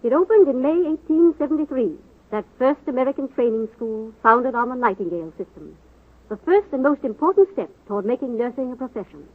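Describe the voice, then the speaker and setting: A woman's voice: high voice, lively intonation, fairly quick. A woman narrator delivering dramatic scripted narration in 1940s radio style. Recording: tinny old radio recording, music behind.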